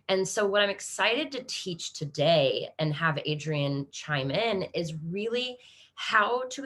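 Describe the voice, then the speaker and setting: A woman with an American Midwest accent hosts an online panel over a video call. Her voice sits a little low, and she keeps an upbeat, encouraging tone, often restarting sentences mid-thought. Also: a bit bright. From Kansas, USA. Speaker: webinar host. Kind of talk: hosting a live online panel.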